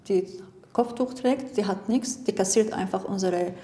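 A woman's voice: mid-range voice, moderate pace, dark tone. A middle-aged immigrant woman speaking with a foreign accent, giving a personal account as an interviewee. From abroad, immigrant to Germany. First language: not German. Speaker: interviewee, choir member. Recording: long reverberant room.

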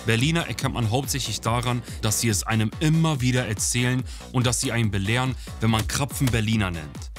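A man's voice: mid-range voice, brisk, hardly any pauses. A man from Oldenburg narrating a travel show in scripted comedic voice-over with an ironic, joking manner. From Oldenburg, Germany. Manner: ironic, joking, lively delivery. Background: music.

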